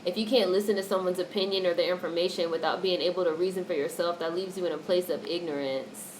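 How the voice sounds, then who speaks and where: A woman's voice: lowish voice, steady tone, fast, darkish tone. A woman hosting a casual livestream, reading out and answering chat comments in a blunt, opinionated way with free swearing. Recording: noisy livestream audio with background noise.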